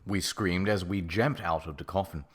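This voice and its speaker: A man's voice: deep voice, normal speed, slightly rough. A man narrating a comic story in a mock-serious, theatrical way. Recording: music behind the voice. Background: music.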